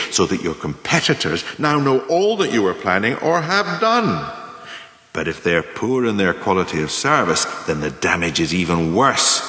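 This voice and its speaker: An actor playing a pompous, cynical business manager, lecturing formally and emphatically with dramatic pauses in a radio play monologue. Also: male voice, low-pitched, sharp tone, exaggerated voice. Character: pompous male business manager delivering cynical career lessons. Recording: very echoey hall-like reverb.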